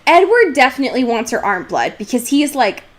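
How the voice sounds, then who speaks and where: A woman's voice: high voice, big pitch swings, brisk, very noisy. A young woman co-hosting a podcast in casual banter, irreverent and freely swearing in slang. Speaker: podcast co-host.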